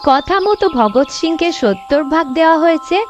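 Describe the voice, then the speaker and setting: A woman's voice: high voice, animated, moderate pace, soft background music. A woman narrating a scripted children's story as the storyteller.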